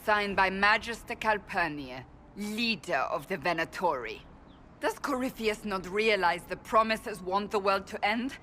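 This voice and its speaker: An actress playing a stern, earnest woman warrior of a holy order in a fantasy game, speaking in a formal, deliberate way. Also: mid-range voice, bright, forceful tone, a little hiss or hum. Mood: puzzled, determined. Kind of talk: dramatic fantasy game dialogue.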